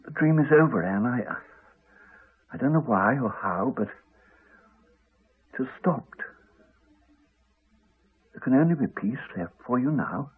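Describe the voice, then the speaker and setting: An actor playing an earnest, wry, reflective doctor in a 1980s radio drama. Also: male voice, mid-range voice, lively intonation, slow, long pauses, slightly rough. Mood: gentle, probing. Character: earnest male doctor, reassuring, wry, later weary and dying. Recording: muffled, narrow-band 1980s radio broadcast.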